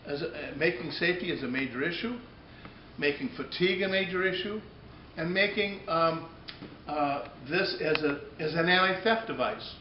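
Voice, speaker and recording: Halting salesman in an echoey room. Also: fairly high pitch. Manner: halting, repeats and rephrases phrases. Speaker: company presenter demonstrating a product. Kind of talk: unscripted product demonstration pitch. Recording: echoey room, dull muffled audio, noticeable background noise.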